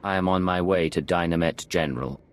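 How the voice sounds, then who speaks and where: A man voicing a cold, controlled secret agent in a video game, measured and clinical. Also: deep voice, lightly acted. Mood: businesslike.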